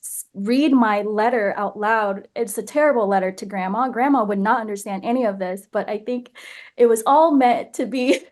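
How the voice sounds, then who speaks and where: A woman's voice: on the high side, normal speed, darkish tone. A young woman who grew up in the United States, chatting casually as an interview guest, laughing often and restarting her phrases. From born in Vietnam, grew up in Nashville, USA. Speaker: guest actress.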